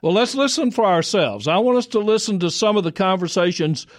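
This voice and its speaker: A man hosting a program, talking in a measured, thoughtful way with some hesitant fillers. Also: high-pitched, lively intonation, no room sound, studio quality. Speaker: host.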